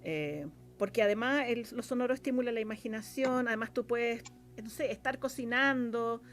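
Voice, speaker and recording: Chilean female guest, slightly high voice, casual and colloquial, thinks aloud while explaining. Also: moderate pace. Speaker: interview guest, radio drama scriptwriter and producer.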